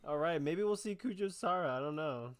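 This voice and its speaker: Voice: mid-range voice, lively intonation, brisk, slight background noise. A gamer with a laid-back, offhand manner, giving casual commentary over gameplay. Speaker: gamer playing through a story quest.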